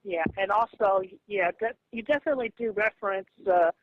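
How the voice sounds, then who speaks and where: A man arguing on a phone call in a halting, stammering way, often repeating himself. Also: high voice, dry recording. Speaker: comic creator on a phone call. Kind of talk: argumentative phone conversation. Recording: muffled lo-fi phone call audio.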